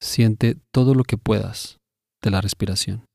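A man narrating a scripted guided meditation in a measured, instructive way, pausing between phrases. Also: lowish voice, fairly even intonation, creaky, darkish tone, no room sound, clean studio sound.

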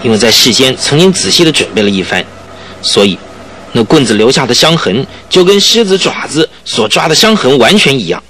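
An actor playing a cunning, smug murderer in a radio drama, explaining himself with self-satisfied emphasis. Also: male voice, medium pitch, very animated, fairly quick, sharp tone, a little hiss or hum. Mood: smug, scheming. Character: cunning, smug male murderer boasting of his scheme. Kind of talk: Mandarin radio drama acting.

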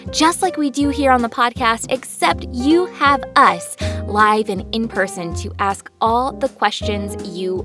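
Female podcast host, higher-pitched, scripted presentation, clear music bed behind.

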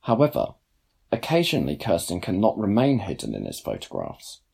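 Deep-voiced young man reading, lively intonation. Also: fairly quick, vocal fry, slightly rough. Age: young adult. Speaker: student writer reading own blog post. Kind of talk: scripted narration, read aloud.

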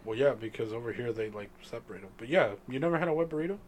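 A man chatting casually with friends, animated and profane, with Spanish as his first language. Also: medium pitch, rapid-fire. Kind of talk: casual chat among friends.

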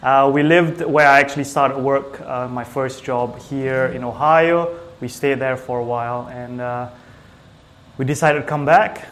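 A man from Singapore chatting casually as an interview guest, with hesitant, halting phrasing. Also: mid-range voice, fairly even intonation, fairly bright tone. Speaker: small business owner, IT technician, interview guest.

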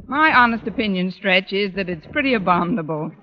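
An actress playing a witty, sarcastic American schoolteacher with dry wisecracks in an old-time radio comedy. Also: female voice, medium pitch, lively intonation, fairly quick, lightly acted. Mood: dry, sardonic. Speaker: lead actress of a radio sitcom. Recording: dull, noisy old radio recording.

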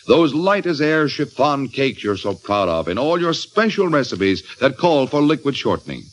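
Animated male radio pitchman, noisy recording. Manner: emphatic, polished salesmanship. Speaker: commercial announcer. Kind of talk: 1940s radio advertisement.

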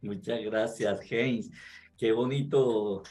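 A man's voice: on the low side, fairly even intonation, slow, dark tone, faint music behind. A man from Colombia, co-hosting an interview in an expansive, upbeat way. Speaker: co-host.

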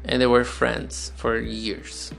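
Brazilian man, young adult, slow halting delivery, phone recording, faint guitar behind. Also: medium pitch, flat delivery, creaky, fairly bright tone. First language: Portuguese. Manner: slow, searching, candid, with pauses and restarts. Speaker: podcast host. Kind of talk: solo personal storytelling podcast.

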